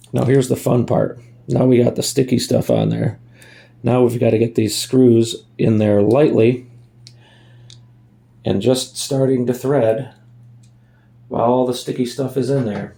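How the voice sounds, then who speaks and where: A man's voice: mid-range voice, slow pace, vocal fry, pauses often, mellow. A man giving a casual hands-on tutorial in a folksy way, thinking aloud as he works, recorded on a phone. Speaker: amateur DIY video maker.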